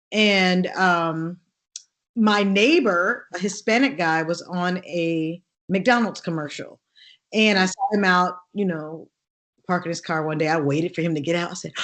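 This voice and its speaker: Deep-voiced woman, animated storyteller. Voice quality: deep contralto voice. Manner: animated, laughing, acts out voices in her stories. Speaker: actress and singer guest. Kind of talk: lively interview storytelling.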